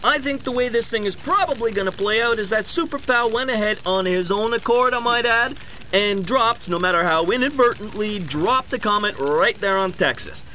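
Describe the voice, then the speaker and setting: An actor playing an evasive, bumbling city mayor in a comedic audio drama, hedging in folksy bureaucratic patter, with background noise. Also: male voice, high-pitched, animated, moderate pace, bright, forceful tone. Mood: matter-of-fact, hedging. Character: evasive, bumbling male big-city mayor at press conference.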